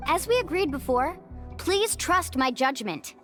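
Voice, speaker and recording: A woman's voice: high voice, very animated, unhurried, a bit bright, faint music behind, heavily acted. A young woman playing a confident, caring adventure guide and surfer in a video game. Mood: firm, serious.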